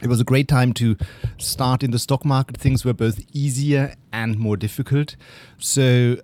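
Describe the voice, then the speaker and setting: A middle-aged man from Germany with a German accent, answering interview questions in a relaxed storytelling way, often trailing off quietly. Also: medium pitch, measured pace. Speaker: guest investor.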